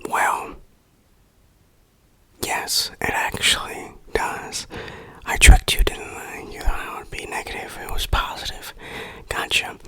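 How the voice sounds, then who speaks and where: An ASMR game reviewer speaks entirely in a whisper, with a bright, crisp edge to the breath. The delivery is very slow and deliberate, with a playful touch. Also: no echo.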